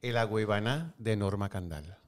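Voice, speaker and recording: A male interviewer with a lively, wide-ranging pitch. He keeps the talk going with frequent short affirmations and friendly questions. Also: normal speed. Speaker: interview host. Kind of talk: conversational interview.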